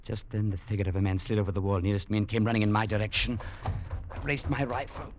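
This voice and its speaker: Actor as grave young soldier, muffled old radio. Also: male voice, fairly low pitch, steady tone, slight background noise, natural voice. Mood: somber, tense. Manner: earnest, measured, formal storyteller. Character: grave young English legionnaire recounting his brothers' tragedy. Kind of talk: 1940s radio drama acting and narration. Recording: muffled 1940s radio recording with music.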